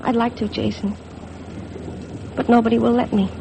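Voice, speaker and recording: An actress playing an insecure, wistful young American bride in a 1950s radio drama. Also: female voice, on the high side, level tone, normal speed, creaky, over music. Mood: confused, sad. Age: young adult. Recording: faintly noisy vintage radio recording.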